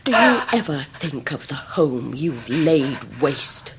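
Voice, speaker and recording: An actress playing a scornful upper-class English Victorian lady in a radio drama, with a breathy, biting, theatrical delivery. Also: rumbling low, sing-song, slow pace, noisy background, exaggerated voice. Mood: icy scorn. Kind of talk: 1990s radio drama acting.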